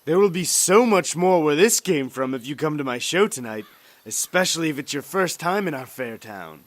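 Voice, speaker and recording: A man's voice: a little high, animated, normal speed, no echo, close to an everyday voice. An actor playing an eager male stage magician in a radio drama, with theatrical, enthusiastic patter. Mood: charming patter.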